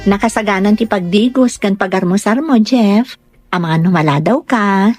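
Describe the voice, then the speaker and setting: Woman acting a bright, caring young fiancée. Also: mid-range voice, moderate pace, soft background music, lightly acted. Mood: solicitous. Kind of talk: Ilocano radio-style drama dialogue.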